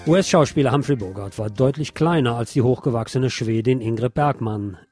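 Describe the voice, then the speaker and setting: A man's voice: mid-range voice, a little fast, a little mellow, soft background music. A man narrating a scripted documentary.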